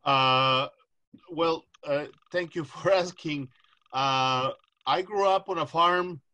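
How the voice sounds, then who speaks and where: Middle-aged man from Costa Rica, panelist on a video call, bright tense tone, earnest and halting. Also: fairly high pitch. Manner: thoughtful, many "uh" fillers, earnest. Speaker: panelist, agricultural economist. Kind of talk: casual panel answer. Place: home, video call with virtual background. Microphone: webcam or laptop mic on video call.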